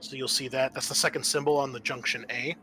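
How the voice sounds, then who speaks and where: A man acting as game master, explaining a tabletop roleplaying game in a casual, halting way. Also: medium pitch, fairly even intonation, sharp tone, soft background music.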